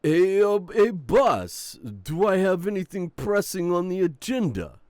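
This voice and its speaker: A man's voice: high-pitched, animated, darkish tone, dry recording, studio quality. A man playing a charming, cocky young mafia boss in a romantic audio roleplay, teasing and playful as he talks. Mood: mock-conversational, playful. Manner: playful, teasing, smooth-talking with drawn-out words.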